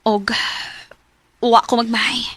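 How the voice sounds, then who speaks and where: A woman with a Cebuano Filipino accent acting a lovestruck, bold young woman in a radio romance drama, earnest and expressive. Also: on the high side, a little slow, husky. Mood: earnest, pleading.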